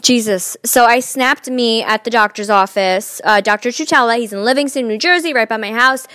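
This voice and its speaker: A woman's voice: fairly high pitch, normal speed, bright, forceful tone, no echo. A woman in her late twenties bantering casually as a podcast co-host, blunt and teasing. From Chile. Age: late 20s. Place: indoors at own home. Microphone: phone.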